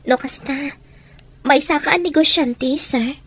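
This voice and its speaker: Actress as a sorrowful, high-voiced young Filipino woman. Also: measured pace, fairly bright tone. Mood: subdued, sad. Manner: plaintive, emotional. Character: sorrowful young woman burdened with caring for her siblings. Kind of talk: melodramatic Filipino radio-style drama acting.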